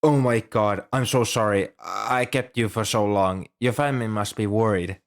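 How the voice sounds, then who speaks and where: Shy, low-voiced young bookish student played by a man. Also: normal speed, lightly acted. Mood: apologetic, shy. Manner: hesitant, softly apologetic, helpful. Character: shy, earnest, bookish male student librarian. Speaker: amateur audio roleplay performer. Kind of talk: ASMR roleplay, scripted one-sided dialogue.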